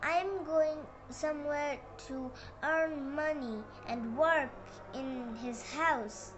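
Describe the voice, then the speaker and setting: A helpful storybook girl , played by a young girl speaks politely in a high voice at a very slow pace. Background noise is clear. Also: dull audio. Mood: polite, earnest. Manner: polite, agreeable. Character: helpful, patient young girl looking for work. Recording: noisy room with background ambience.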